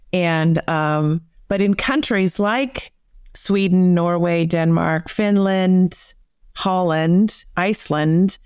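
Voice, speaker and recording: Smooth-voiced woman explaining. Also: on the low side, no echo, clean studio sound. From United States. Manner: animated explanation with many fillers. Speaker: psychotherapist guest. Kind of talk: conversational interview.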